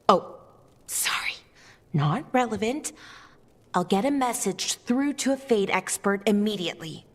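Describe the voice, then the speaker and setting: A woman's voice: medium pitch, a bit bright, long reverb tail, natural voice. A woman playing an earnest, bookish young elven scholar in a fantasy video game. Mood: eager, apologetic.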